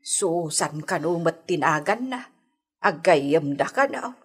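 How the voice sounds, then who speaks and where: A woman's voice: low voice, animated, slow, husky, faint music behind, full character voice. An actress playing a suspicious, fretful mother in an Ilocano radio drama. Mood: worried. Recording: noisy old radio recording.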